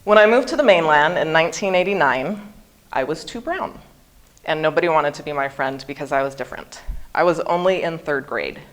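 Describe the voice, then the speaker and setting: A woman's voice: low-pitched, lively intonation, fairly quick. A woman in her early forties from Hawaii, giving a prepared seminar talk and pronouncing each word with care. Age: 40s. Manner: careful, over-articulated pronunciation. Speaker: guest speaker.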